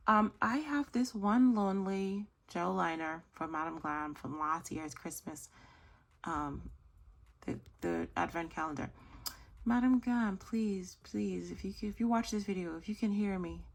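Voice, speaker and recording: Woman host, mid-pitch, gravelly fry, chatty tutorial delivery, a little hoarse. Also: unhurried. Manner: chatty, gushing, self-correcting. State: slightly hoarse, losing her voice. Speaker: nail art tutorial host. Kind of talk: casual tutorial chat.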